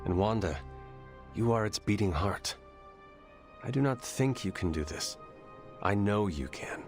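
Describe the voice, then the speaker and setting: An actor playing a calm, formal young male hero who speaks in measured tones. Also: on the low side, soft background music. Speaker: player character hero. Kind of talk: video game cutscene.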